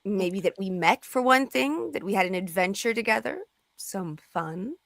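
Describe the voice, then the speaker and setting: Wounded, teasing woman, former lover, read by an actress at a table read; pointed, creaky voice, dry sound. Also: medium pitch, normal speed, fairly bright tone, dry recording. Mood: hurt, teasing. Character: wounded, teasing woman, a starship captain's old flame. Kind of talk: live script table read.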